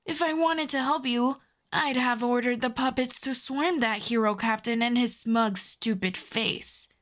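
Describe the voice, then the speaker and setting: A woman playing a proud telepathic villainess with a soft spot, in an intimate ASMR roleplay, haughty and teasing. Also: fairly high pitch. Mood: flustered, defensive. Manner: haughty, teasing, slow deliberate delivery with soft whispers. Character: proud telepathic villainess, secretly fond of a hero.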